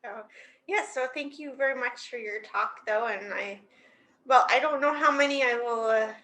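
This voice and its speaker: Bright-voiced woman on a video call. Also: fairly high pitch, moderate pace. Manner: friendly, hesitant, laughs lightly. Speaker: participant. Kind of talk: casual remarks on a video call.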